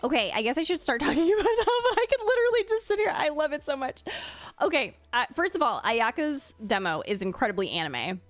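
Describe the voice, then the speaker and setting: A woman's voice: high voice, very animated, fast, no room sound, soft background music. A woman streamer giving casual, gushing commentary as she reacts to something she is a fan of. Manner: gushing, enthusiastic. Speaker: streamer and video creator.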